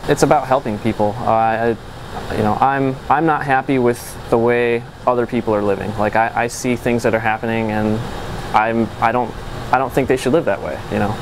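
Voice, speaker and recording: A man's voice: medium pitch, fairly even intonation, fairly quick, background noise. Casual young man, filler-heavy. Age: young adult. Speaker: chief technical officer. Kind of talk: interview answers. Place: office with whiteboard, indoors.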